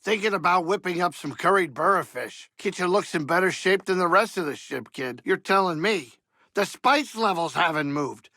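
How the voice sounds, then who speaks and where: An aging alien starship pilot for a video game. His voice sits fairly high, with a bright, pushy edge and plenty of gravel. He is folksy and fussy, a wisecracking grumbler with a soft heart, recorded close and dry. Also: animated, normal speed, dry recording, heavily acted. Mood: fussy, exasperated. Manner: folksy, wisecracking, fussy, colloquial streetwise talk. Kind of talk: video game character dialogue.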